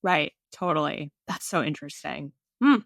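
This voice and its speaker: An enthusiastic woman hosts a podcast, affirming her guest at every turn. Her voice is bright yet pitched a little low, and it sounds dry and close to the mic. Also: expressive, normal speed, gravelly, no echo. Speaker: podcast host. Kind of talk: casual podcast interview.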